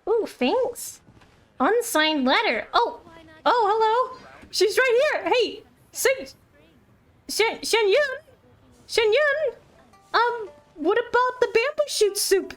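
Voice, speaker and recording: A woman's voice: very high voice, expressive, slow pace, long pauses, a bit bright. A woman streaming a video game, chatting casually with playful asides and reading game text aloud over music.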